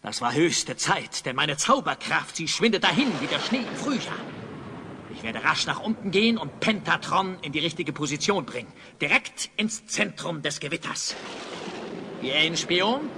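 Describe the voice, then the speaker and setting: A man's voice: a little high, sing-song, normal speed, noisy background. A man playing a vain, villainous wizard in a children's audio drama, pompous, imperious and gloating.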